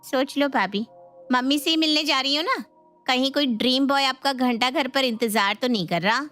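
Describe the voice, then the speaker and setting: A woman voicing a sharp-tongued young sister-in-law who taunts and scolds in a dramatized moral story. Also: high voice, normal speed, soft background music, pitched above the performer's own voice. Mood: teasing. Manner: mocking, scolding.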